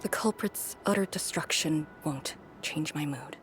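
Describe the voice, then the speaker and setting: An actress voicing a melancholy, quietly resolute young woman in a game, breathy, subdued and reflective. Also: low voice, unhurried, a little hiss or hum. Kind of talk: scripted game voice acting. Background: prominent music.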